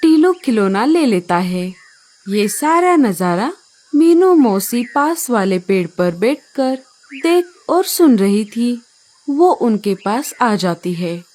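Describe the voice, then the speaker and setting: A woman narrates a children's cartoon story in a fairly high, very animated voice. She takes her time with each phrase, and light music plays underneath. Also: slow.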